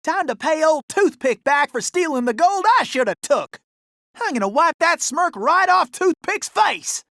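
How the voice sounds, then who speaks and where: An actor voicing a cocky, folksy raccoon gunslinger with a Southern cowboy drawl for an animated video game. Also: male voice, very high voice, dry recording, clean studio sound, full character voice. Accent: American Southern cowboy drawl. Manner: folksy, excitable, exclamatory cowboy slang. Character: cocky, folksy cartoon Old West raccoon gunslinger, male.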